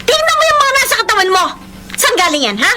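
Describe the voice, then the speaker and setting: An actress playing a suspicious, hurt young wife in a Filipino radio drama, emotional and accusing, with a Filipino accent. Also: female voice, extremely high pitch, very animated, fast talker. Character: suspicious, hurt young wife confronting her cheating husband. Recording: noisy radio drama with background ambience.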